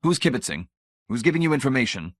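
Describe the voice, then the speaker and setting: A man's voice: mid-range voice, very animated, quick pace, no room sound, clean studio sound, voice pushed up. A man voicing a blunt, skeptical male electronics expert who grows exasperated. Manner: blunt, irritated and challenging. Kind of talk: audiobook character voice.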